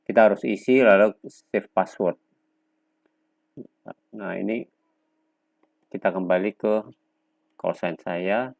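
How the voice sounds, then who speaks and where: A man presenting an unscripted software tutorial step by step, speaking in a halting, instructional way with pauses between short phrases. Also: lowish voice, dry recording. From Indonesia. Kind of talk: unscripted step-by-step screen demonstration.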